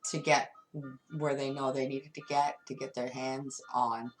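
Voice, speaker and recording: A woman with a deep, low voice works through a tarot spread, speaking directly to her viewer. She talks emphatically and repeats herself as she goes. Faint music plays in the background. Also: flat delivery, measured pace, rough recording. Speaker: tarot reader. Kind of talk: tarot card reading monologue.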